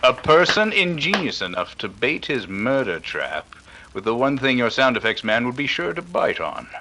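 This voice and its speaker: A man playing a shrewd, authoritative detective in a 1930s-style radio mystery, speaking with deliberate, accusing authority. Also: medium pitch, very animated, pushed and bright. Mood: grave, deliberate. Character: shrewd, commanding male detective exposing a murderer.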